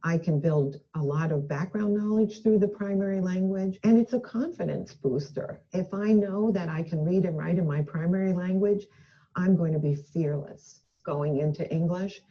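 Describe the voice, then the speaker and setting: A woman's voice: fairly low pitch, normal speed, lo-fi sound. A woman education expert giving an earnest, emphatic recorded talk, speaking indoors in a video clip.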